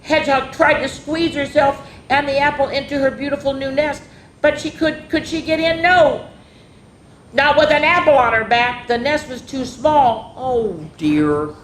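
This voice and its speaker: Expressive woman storyteller in echoey room. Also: a little slow. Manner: expressive, drawing out words for children. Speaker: children's story-time host and reader. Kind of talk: animated picture-book read-aloud with casual asides. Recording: echoey room with faint rumbling noise.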